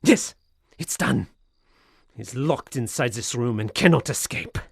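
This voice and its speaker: An actor playing a tormented, paranoid gentleman losing his mind, in a theatrical radio suspense style with a husky voice. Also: medium pitch, expressive, measured pace, dry recording, studio quality, heavily acted. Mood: manic exultation. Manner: theatrical, brooding monologue swelling to frenzy. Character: tormented paranoid Frenchman gentleman descending into madness. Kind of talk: radio suspense drama acting.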